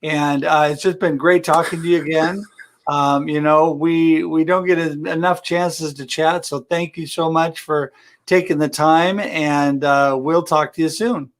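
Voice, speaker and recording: A man's voice: a little high, steady tone, measured pace. A man hosting a live show, talking in a friendly, upbeat way with his guest and viewers. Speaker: host. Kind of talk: casual live-stream chat.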